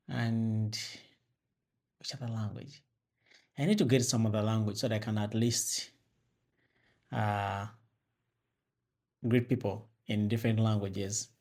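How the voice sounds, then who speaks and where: A young man from Uganda with a Ugandan accent talks to his viewers in a relaxed, rambling way, full of fillers and restarts. His voice sits a little low. He records himself on an external microphone into a phone. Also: gravelly. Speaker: vlogger host. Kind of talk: casual talk to camera. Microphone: external mic with phone camera.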